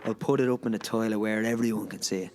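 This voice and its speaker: A man's voice: fairly low pitch, fairly even intonation, fast talker, darkish tone, a little hiss or hum, natural voice. A man playing a cheerful, joking pub barman in a comic radio play. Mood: cheerful, teasing.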